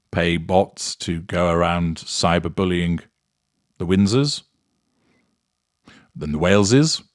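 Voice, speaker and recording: A man's voice: low-pitched, dry recording, studio quality. A man hosting a commentary show, delivering scripted sarcastic remarks in a mocking, drily theatrical manner.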